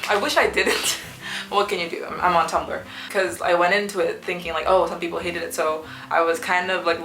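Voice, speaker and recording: A young woman, a student, chatting casually in a book review vlog, rambling with many fillers and restarts, with a sore throat that makes her clear it now and then. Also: on the low side, pushed and bright, echoey room, low-quality recording. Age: young adult. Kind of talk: casual chat. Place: small student apartment room.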